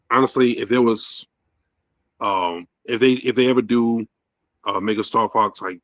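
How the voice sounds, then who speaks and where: A man's voice: lowish voice, fairly bright tone, dry recording, muffled recording. A man in his thirties chatting casually as a podcast co-host, rambling with many fillers and restarts. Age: 30s. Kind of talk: casual chat.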